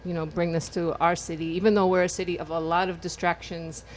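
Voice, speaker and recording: Flat-toned woman radio host. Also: lowish voice, fairly quick, slight background noise. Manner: grateful, chatty. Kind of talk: radio interview.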